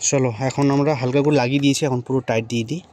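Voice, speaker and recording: A man's voice: medium pitch, fairly even intonation, very fast, a little mellow. A man giving a casual, improvised hands-on tutorial to viewers, talking informally as he works. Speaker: motorcycle vlogger presenting an unboxing and installation. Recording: clear background ambient noise. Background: background noise.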